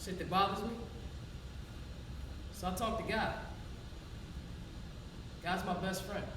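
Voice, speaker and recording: An actress playing a wounded, frank, streetwise homeless young woman in a plainspoken, profane stage monologue with dramatic pauses. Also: medium pitch, lightly acted. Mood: weary, wry. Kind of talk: theatrical monologue in a one-act stage play. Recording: echoey hall, far-off mic, background noise.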